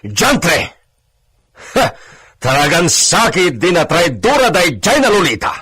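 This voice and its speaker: An actor playing a domineering, suspicious landowner husband in a radio drama, imperious and quick to rage, peppering his talk with Spanish exclamations. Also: high voice, big pitch swings, sharp tone, husky, exaggerated voice. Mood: furious.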